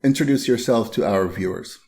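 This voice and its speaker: A man from Bahrain hosting a podcast, asking questions in a relaxed, friendly chat. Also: mid-range voice, brisk. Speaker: podcast host. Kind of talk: casual interview chat.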